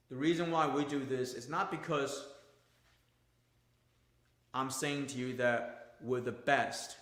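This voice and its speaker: A man's voice: mid-range voice, a bit bright, echoey room. A male golf instructor, not a native English speaker, giving an emphatic, repetitive livestream talk in plain, non-native grammar.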